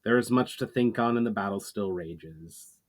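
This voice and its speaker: A man's voice: lowish voice, normal speed, natural voice. A man playing a pensive, reserved male warlord in a fantasy story reading, speaking tersely and formally. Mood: grave, pensive.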